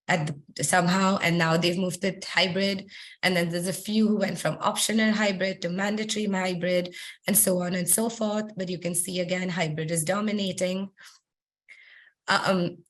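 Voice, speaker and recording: A woman presenting survey results in a webinar while losing her voice, talking conversationally with frequent ums and throat clearing. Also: fairly low pitch. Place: online webinar.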